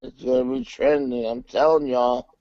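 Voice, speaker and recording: A man chatting loudly and teasingly on a group video call while drinking. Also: mid-range voice, sing-song, moderate pace, vocal fry. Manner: loud, drunken, teasing. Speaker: hangout regular. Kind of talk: rowdy group video chat. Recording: dull lo-fi call audio.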